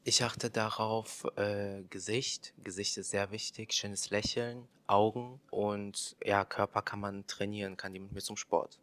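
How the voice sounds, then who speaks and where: A man's voice: lowish voice, flat delivery, measured pace, few pauses, a bit bright. A man in his twenties from Cologne, a contestant talking frankly in slang. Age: 20s.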